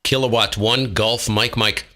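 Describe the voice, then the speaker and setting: A man's voice: on the low side, steady tone, measured pace, a crowd in the background. An American man operating a ham radio, giving call signs and making casual side comments as host.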